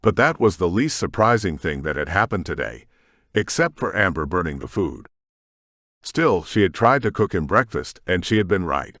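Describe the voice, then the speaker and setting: Low-voiced man narrating an audiobook. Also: sing-song, moderate pace, a bit bright, no room sound, studio quality. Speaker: narrator and author.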